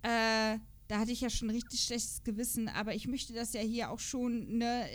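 Female podcast host, high-ish voice, informal conversational delivery, unscripted chat. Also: steady tone, moderate pace. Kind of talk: casual chat about audio drama episodes.

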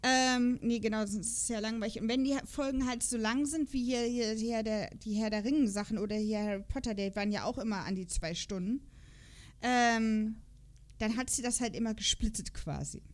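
Chatty high-voiced woman hosting a podcast. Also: normal speed. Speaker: podcast host. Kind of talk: casual podcast chat about audio dramas.

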